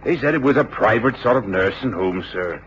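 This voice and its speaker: Period radio drama cabby male actor, plain-spoken and obliging, low pitch, muffled sound with faint noise. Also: animated, fairly quick, a bit bright. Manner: deferential, chatty, plain-spoken. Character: working-class London cabby, obliging old hand. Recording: dull, noisy radio drama recording.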